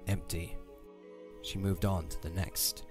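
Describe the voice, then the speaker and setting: A man's voice: low voice, steady tone, takes it slowly. A man narrating an audio drama from a script as the storyteller. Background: soft music.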